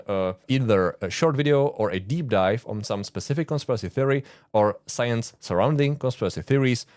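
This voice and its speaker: Czech-accented man, low dry voice. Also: darkish tone, no room sound. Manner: earnest, informal, with frequent uh fillers and dry asides. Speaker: YouTube host, conspiracy researcher. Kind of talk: explainer monologue to camera.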